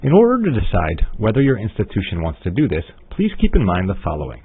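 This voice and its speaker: A man with a fairly low voice narrates a software tutorial through a narrow, phone-like recording. His delivery is methodical and scripted, yet his intonation moves up and down a good deal as he explains each step. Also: huge pitch swings, quick pace, husky. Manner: methodical, instructional. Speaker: software trainer. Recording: muffled, phone-like narrow-band audio.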